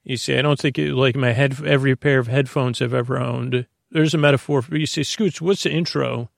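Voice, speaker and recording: A man with a creaky voice hosting a bedtime podcast in a meandering, halting ramble that keeps interrupting itself and drifting off topic. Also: medium pitch, fairly even intonation, no echo, studio quality. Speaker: podcast host.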